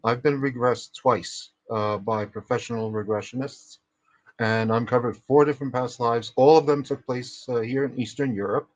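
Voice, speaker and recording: A man's voice: mid-range voice, fairly even intonation, moderate pace. Methodical Czech-accented man in his fifties. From Czech Republic. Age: 50s. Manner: precise, methodical storytelling. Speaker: co-host. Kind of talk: livestream conversation.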